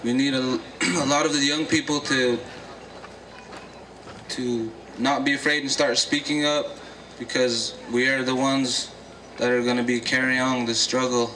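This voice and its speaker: A man giving a short address at a gathering in a slow, flat delivery. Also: medium pitch, recorded from a distance, a little hiss or hum, poor audio. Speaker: speaker at a gathering.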